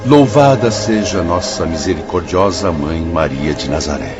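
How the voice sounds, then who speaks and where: A Brazilian actor as a benevolent spirit teacher in a radio drama, with a very low voice. He speaks in solemn, formal oratory, with music clearly behind him. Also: moderate pace, dull audio, natural voice. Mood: reverent. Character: solemn, benevolent male spirit instructor addressing the afflicted.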